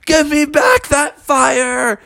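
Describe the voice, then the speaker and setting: A man voicing a mischievous little boy bear cub in a spoken story, with a squeaky, gleeful voice. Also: sing-song, takes it slowly, vocal fry, pushed and bright, exaggerated voice. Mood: fierce.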